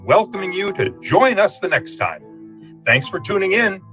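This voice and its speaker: A man's voice: high voice, very animated, unhurried, sharp tone, faint music behind. A man reading as a scripted announcer in the style of old-time radio ads and sign-offs.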